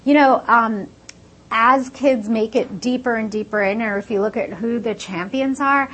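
A woman's voice: on the high side, moderate pace, a bit bright, slight background noise, rough recording. A woman, born in India and raised in the United States, explaining her research fluently in a casual interview with many "like" fillers.

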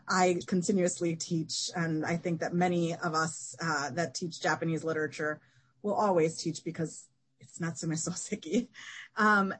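A woman's voice: lowish voice, breathy edge. A woman who teaches Japanese literature, interviewing a guest and reading out questions with a few fillers.

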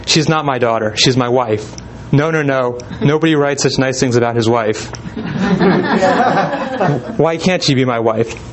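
A man of ordinary pitch gives an informal talk, reading old letters aloud to his listeners. He speaks in a relaxed, unhurried way, and his reading is broken by ums and small restarts. A faint hiss sits behind his voice. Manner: relaxed, hesitant with frequent ums. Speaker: presenter.

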